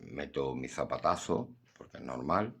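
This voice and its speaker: Very deep husky older man. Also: lively intonation, moderate pace, a bit bright. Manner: blunt, earnest, preachy. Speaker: podcast host. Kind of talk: casual philosophical monologue.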